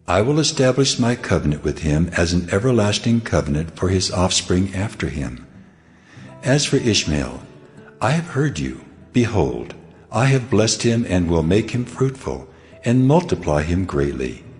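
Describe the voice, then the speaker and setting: An almighty God in a scripture drama. The voice is very deep and solemn, rising and falling with measured authority over faint music. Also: male voice, very animated. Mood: solemn, promising. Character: God, solemn, commanding almighty male deity.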